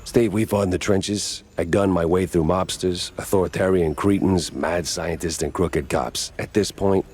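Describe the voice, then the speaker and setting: A man's voice: low voice, steady tone, normal speed, darkish tone, breathy edge. A man playing a cynical, wisecracking private eye and war veteran in a cartoon noir game, wry and hardboiled. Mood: weary. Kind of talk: noir video game acting and narration.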